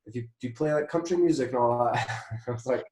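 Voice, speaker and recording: A man's voice: mid-range voice, fast, darkish tone, slight background noise. Scottish man chatting in an echoey room. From Scotland. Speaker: interview guest, musician. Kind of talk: casual anecdotal chat.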